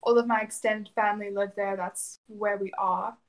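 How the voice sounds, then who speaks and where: A young woman from South Africa talks through her story in an even, level tone with little rise and fall. She answers questions plainly and steadily, now and then with a soft laugh. Her first language is Afrikaans. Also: mid-range voice, normal speed, low-quality recording. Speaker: interview guest. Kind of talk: conversational interview answers.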